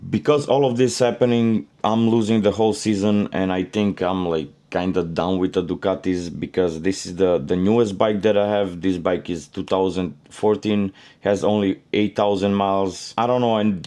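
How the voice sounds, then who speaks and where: A man's voice: deep voice, normal speed, few pauses. A young man from Serbia with a Serbian accent, casually telling his motorcycle story in a rambling way with many fillers. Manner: relaxed and rambling, many "you know" fillers. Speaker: motorcycle vlogger.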